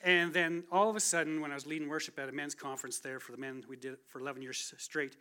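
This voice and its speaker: A man's voice: fairly high pitch, fairly even intonation, fast, bright, forceful tone, clean tone. A man in his forties giving a casual, chatty testimony from the pulpit of a church, joking at his own expense. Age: 40s. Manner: casual, chatty, self-deprecating. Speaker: guest speaker. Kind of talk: conversational sermon testimony. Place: church sanctuary.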